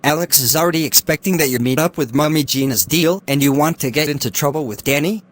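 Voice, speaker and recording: A man's voice: fairly high pitch, fast talker, natural voice. A young man, acting a cautious student who firmly refuses to get involved. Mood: dismissive. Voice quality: firm, dismissive refusal. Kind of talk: amateur drama acting.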